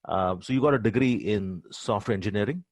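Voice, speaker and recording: A middle-aged man hosting a podcast interview, asking halting, filler-heavy questions. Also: lowish voice, no echo. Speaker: podcast co-host, professor.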